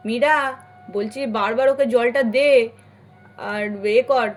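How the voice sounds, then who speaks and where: Village elder sister for doll play, female performer: busy, bossy, instructing, slightly high voice. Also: takes it slowly. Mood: brisk, concerned.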